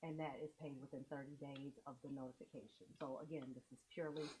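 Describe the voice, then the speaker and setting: A woman presenting to a school board, explaining contract details in a measured way. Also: rumbling low, steady tone, noisy background. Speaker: district administrator.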